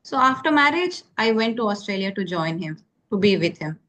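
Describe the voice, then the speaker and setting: A woman's voice: medium pitch, animated, brisk, a bit bright. A woman from South India, tutoring a beginner over a call and checking in often with "okay?". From Karnataka, South India. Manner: patient, repeats key points with many "okay?" checks. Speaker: English tutor. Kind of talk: phone conversation practice.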